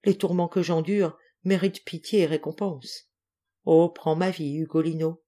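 A woman's voice: low voice, fairly even intonation, normal speed, warm tone. A woman voicing an anguished young wife and mother, desperate and theatrical, in a dramatic story reading. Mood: anguished pleading. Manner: impassioned, pleading, theatrical.

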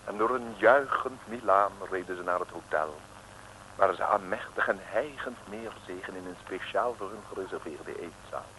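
A man's voice: deep voice, moderate pace, bright, forceful tone, a little hiss or hum, rough recording. A man narrating a comic radio serial in an ornate, mock-heroic scripted style. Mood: wry, grand. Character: jaunty male storyteller of a comic serial. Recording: old 1950s radio recording. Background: music.

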